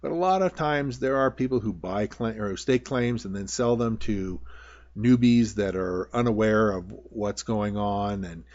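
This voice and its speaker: A man with a fairly low voice talks through an instructional presentation in a folksy, plain-spoken way. He explains things informally and repeats himself. The recording is a bit dull and lo-fi. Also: measured pace, a little mellow. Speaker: prospector giving a tutorial. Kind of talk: informal narration over slides. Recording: dull, lo-fi voice-over.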